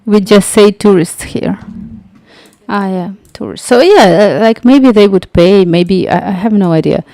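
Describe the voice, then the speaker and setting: A young woman hosting a livestream, chatting casually and telling a story with lots of filler words and halting phrasing. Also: mid-range voice, dark tone, no echo, clean studio sound. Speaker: livestream tutorial host.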